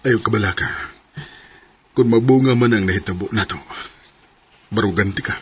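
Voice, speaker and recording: A man's voice: lowish voice, normal speed, a little hiss or hum, lightly acted. A man acting an earnest, protective boss in love in a romantic radio-style drama, with a Cebuano Filipino accent. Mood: tender, hesitant.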